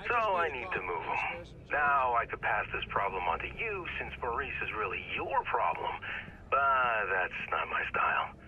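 A man's voice: medium pitch, very animated, moderate pace, slight background noise. A man playing a slick, wry underworld fixer in a video game, glib and needling, heard on a phone call. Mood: breezy, needling. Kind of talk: video game cinematic acting.

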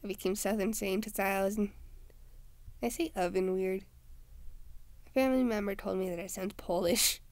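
A woman's voice: medium pitch, moderate pace, pauses often, a little mellow. Chatty young woman playing a game. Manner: chatty, muttered asides to herself. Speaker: gaming video creator. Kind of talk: casual let's-play commentary.

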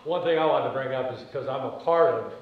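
A man in the audience making a comment at a community meeting, halting and restarting his phrases. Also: medium pitch, slight background noise. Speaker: audience member speaking up. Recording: very echoey hall, speaker far from the mic, muffled.

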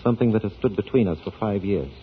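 An actor in a 1940s radio drama playing a jealous, controlled husband with a formal, measured manner. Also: male voice, on the low side, close to an everyday voice. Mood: bitter restraint. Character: controlled, jealous, brooding husband of an opera star. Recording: tinny, noisy 1940s radio broadcast.

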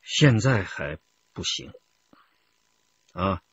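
A man's voice: deep voice, lively intonation, extremely slow, highs cut off, exaggerated voice. A man playing a firm, authoritative police interrogator questioning in a radio crime drama. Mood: calm probing. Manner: authoritative, probing.

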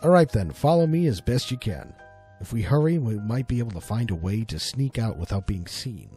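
A man's voice: fairly low pitch, expressive, a little fast, mellow, breathy edge, faint music behind. A man voicing a frightened but determined detective, urgent and earnest, in a dramatic audio story. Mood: resolute, instructing.

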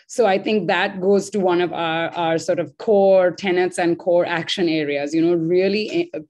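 A woman from Sri Lanka speaking as a panelist in an online discussion, quick and thoughtful with frequent fillers. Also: fairly low pitch, fairly even intonation, a little mellow.

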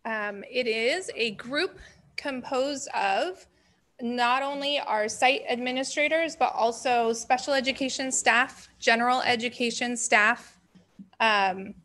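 Woman director presenting to the board, bright forceful voice, a touch high. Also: slow. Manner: projecting, strong voice. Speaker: special education director.

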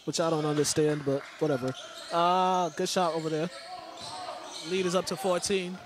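A man's voice: on the high side, steady tone, moderate pace, background noise. A man giving loose, chatty play-by-play commentary of a game, repeating names and restarting phrases. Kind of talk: casual sports commentary. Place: large indoor school gym. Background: faint gym ambience and ball bounces.

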